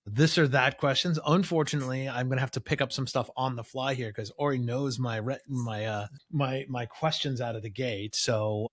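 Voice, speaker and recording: A man hosts a podcast with an ordinary mid-pitched voice. He talks in a friendly, loose way, often circling back and filling pauses as he gets to the point. It sounds like casual interview hosting. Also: fairly even intonation. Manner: friendly, rambling with fillers. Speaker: podcast host.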